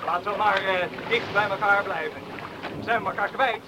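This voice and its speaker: A male actor playing a wary, excitable islander out in a storm, in a radio play. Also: sits high, moderate pace, lightly acted. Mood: wary, startled. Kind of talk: radio drama acting. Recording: loud wind and sea noise.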